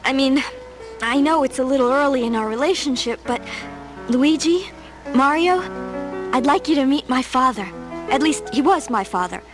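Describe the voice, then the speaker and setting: An actress playing a sweet young princess in an audio drama, speaking with hesitant, awkward sincerity. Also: female voice, high-pitched, expressive, normal speed, long pauses, music in the background. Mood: awkward, sincere. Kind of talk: dramatic audio play acting.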